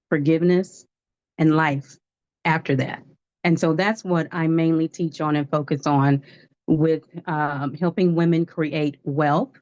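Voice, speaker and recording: Low-voiced woman in her fifties testifying. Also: darkish tone, no room sound, lo-fi sound. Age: 50s. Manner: earnest, testifying, laughs at herself. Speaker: guest life coach. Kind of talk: livestream testimony.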